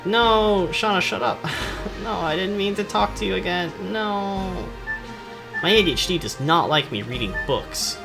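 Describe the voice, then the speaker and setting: A man's voice: high voice, expressive, measured pace, creaky, poor audio. A man giving casual, rambling video game commentary in a jokey, sarcastic and self-deprecating way. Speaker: gaming video host. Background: music behind the voice.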